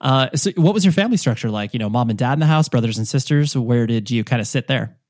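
A man's voice: medium pitch, expressive, fast, a little mellow. A man hosting a podcast interview, talking in long rambling turns full of restarts and fillers. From United States. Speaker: podcast host. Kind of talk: casual interview chat.

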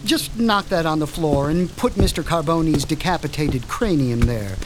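An actor playing a cultured, coldly curious mad doctor in a radio drama, fussy and chillingly detached. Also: male voice, fairly high pitch, animated, moderate pace, background noise, close to an everyday voice. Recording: music and rain behind.

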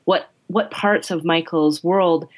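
Woman author guest, low-pitched, chatty and self-repeating. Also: fairly even intonation, takes it slowly. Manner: repeats words, lots of "you know" and "right?". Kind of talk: podcast conversation.

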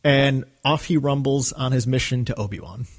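A man's voice: mid-range voice, normal speed. A man co-hosting a podcast in casual, enthusiastic discussion. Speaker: podcast co-host. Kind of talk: casual discussion of a radio drama.

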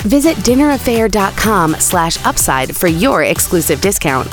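A woman announcer giving a polished, scripted sponsor read. Her pitch rises and falls a great deal, and faint music plays beneath her. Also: very animated, moderate pace.

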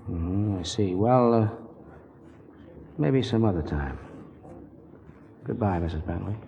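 A man's voice: low voice, takes it slowly, gravelly, dull audio, heavily acted. An actor playing a polite but persistent man on business in a 1940s radio drama, casual and a little hesitant. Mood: probing.

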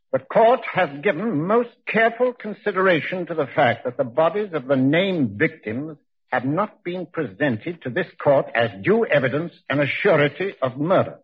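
A stern, solemn court judge in a 1940s radio play. The voice is very husky and slightly high, with formal, oratorical phrasing. It comes through a dull vintage recording. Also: male voice.

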